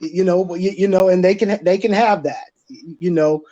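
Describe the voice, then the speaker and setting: Man, high voice, emphatic colloquial panelist, dull lo-fi recording. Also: steady tone, moderate pace, dark tone. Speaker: stage play writer-director and producer. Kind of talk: panel chat.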